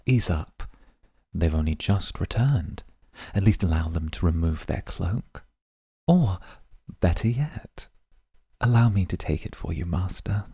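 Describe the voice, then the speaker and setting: Man as a husky, very deep, courtly incubus. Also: mellow, no room sound, clean studio sound, full character voice. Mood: dry, solicitous. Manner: formal, measured phrasing. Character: cool, courtly male incubus demon, devoted and dryly teasing. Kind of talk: ASMR roleplay acting.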